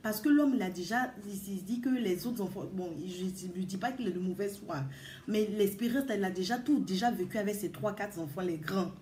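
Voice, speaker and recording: A woman's voice: medium pitch, fairly quick, a little mellow, roomy sound, slight background noise. A woman vlogger giving casual advice to mothers, talking earnestly and emphatically, repeating words and addressing her listeners directly. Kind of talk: casual advice talk to viewers.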